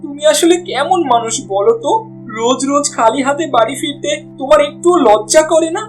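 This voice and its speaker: Cartoon scolding wife female actor: higher-pitched, sharp-tongued, nagging, fed up, music underneath. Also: brisk, close to an everyday voice. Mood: angry, nagging. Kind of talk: cartoon voice acting.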